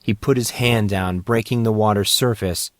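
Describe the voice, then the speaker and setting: A man reads fiction aloud as a dramatic narrator. His voice sits fairly low, and the sound is dry, with little room around it. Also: steady tone, normal speed, no room sound. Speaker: audiobook narrator.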